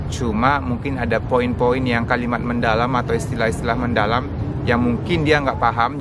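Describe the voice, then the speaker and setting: A man's voice: medium pitch, very noisy. Earnest young man telling his story. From Indonesia. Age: 20s. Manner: casual and earnest, with light English asides. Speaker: vlogger. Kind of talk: personal storytelling vlog.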